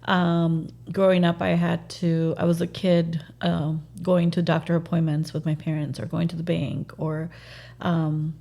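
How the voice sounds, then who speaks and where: A woman realtor, a native Spanish speaker, talks earnestly in a casual interview, pausing often on fillers. Her voice sits low and dark, with a gravelly edge. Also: little pitch movement. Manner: earnest, halting with frequent fillers.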